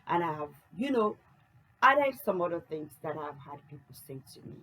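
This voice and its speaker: A woman who writes books, talking casually to camera in an unhurried way and reading reviews aloud. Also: low-pitched, sing-song, background noise. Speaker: author and book channel host.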